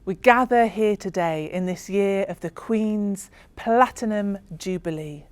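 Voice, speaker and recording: A woman storyteller giving a solemn, reflective spoken-word narration in a slow, ceremonial manner. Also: medium pitch, clear, resonant tone, dry recording.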